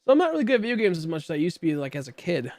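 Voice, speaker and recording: A man's voice: fairly high pitch, big pitch swings, very fast, a little mellow, no echo. A man in his late twenties chatting casually while streaming a game, rambling and joking about himself as he talks to viewers and a friend. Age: 20s. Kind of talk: casual livestream chat while gaming.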